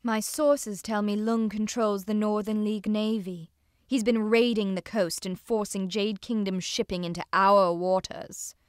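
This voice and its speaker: A woman plays a cool, composed pirate strategist briefing her captains in a game cutscene. Her voice sits at a medium pitch in a very dry recording. She is poised, controlled and authoritative. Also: steady tone, unhurried, clean tone, dry recording, lightly acted. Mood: cool, wary. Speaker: video game character giving strategic briefings. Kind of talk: dramatic game cutscene acting.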